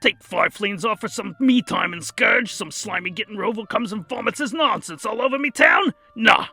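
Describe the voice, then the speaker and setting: Man as giant storybook yeti lady, folksy and blustering, high voice, forceful, music behind. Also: expressive, moderate pace, creaky, husky, full character voice. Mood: indignant, blustering. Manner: folksy ungrammatical speech, indignant rants. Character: huge reformed yeti berserker lady, proud, blustering, chatty.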